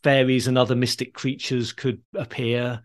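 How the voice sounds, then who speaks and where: Man, podcast host, medium pitch, measured explanatory delivery, history conversation. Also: level tone.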